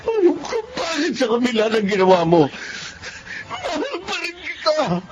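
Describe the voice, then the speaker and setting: A high-voiced, anguished betrayed husband. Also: male voice, huge pitch swings, moderate pace, full character voice. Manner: anguished, wailing. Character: betrayed, vengeful, heartbroken husband, torn and anguished. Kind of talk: melodramatic radio drama acting. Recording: muffled radio drama, noisy background.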